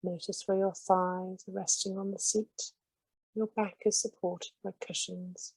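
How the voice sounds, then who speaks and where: Slow, soft-spoken woman guiding meditation. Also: medium pitch, level tone, breathy edge, no echo, rough recording. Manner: slow, soothing, gentle instructions. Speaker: meditation guide. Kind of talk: guided meditation.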